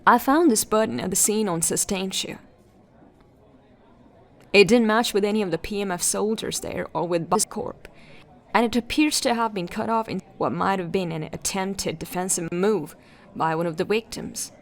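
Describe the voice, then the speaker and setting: An actress playing an earnest, inquisitive detective who is puzzling over a case in a sci-fi audio drama. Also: female voice, mid-range voice, moderate pace, natural voice. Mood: focused. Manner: earnest, questioning.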